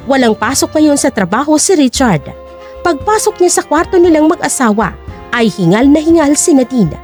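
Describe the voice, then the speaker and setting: A woman narrates an audio drama in a high voice. Her scripted delivery is dramatic and moves up and down a lot. Faint music plays behind the story. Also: darkish tone.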